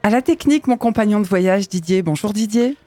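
A woman hosting a local radio program, introducing the show and her guest in a brisk, chatty way. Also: mid-range voice, expressive, dark tone, no room sound, faint music behind. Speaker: radio host.

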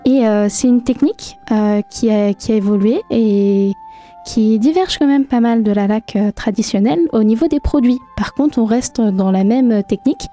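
A young woman with a fairly high, mellow voice answers questions on a radio show. She talks hesitantly, stopping often with fillers, and soft music plays faintly behind her. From France. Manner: hesitant, many pauses and fillers. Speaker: guest artist.

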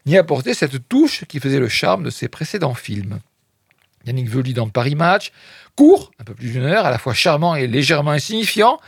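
A man's voice: a little high, slightly rough, dry recording, clean studio sound. A man presenting film reviews on the radio, reading press quotes and adding wry, hesitant asides full of fillers.

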